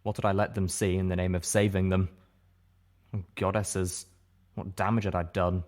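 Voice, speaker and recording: A man's voice: low voice, level tone, normal speed, a little mellow. A man reading a first-person story aloud as an audiobook narrator.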